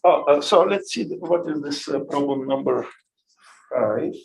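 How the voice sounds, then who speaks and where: A man's voice: on the high side, expressive, gravelly. Man lecturing in an echoey classroom. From Bulgaria. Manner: halting, many fillers and restarts. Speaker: physics teacher. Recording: echoey classroom, distant mic.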